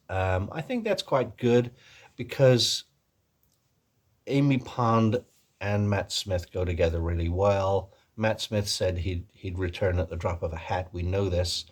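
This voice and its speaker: A low, raspy man doing an American male vlogger voice. He rambles in a casual, hesitant way and pokes fun at himself as he presents to his viewers. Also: exaggerated voice. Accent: put-on American. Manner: rambling, self-deprecating, hesitant. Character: chatty male American video presenter, self-mocking and rambling. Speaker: video host and actor. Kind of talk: casual vlog commentary.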